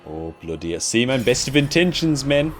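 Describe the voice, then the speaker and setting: A man's voice: mid-range voice, sing-song, normal speed. A man hosting a game reaction video with casual, slangy, exclamatory commentary. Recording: music under the voice.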